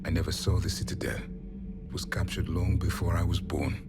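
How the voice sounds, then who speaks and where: A deep-voiced, ancient alien warrior, the last of his kind. He speaks with cold pride and grave, measured disdain, as in a video game, over prominent music. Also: fairly even intonation, gravelly. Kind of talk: video game character dialogue.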